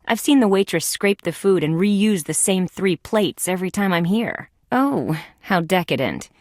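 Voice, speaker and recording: A woman voicing a young, wisecracking female insect police detective in a video game, with sardonic, deadpan quips. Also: fairly low pitch, normal speed, no room sound, clean studio sound. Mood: dry sarcasm.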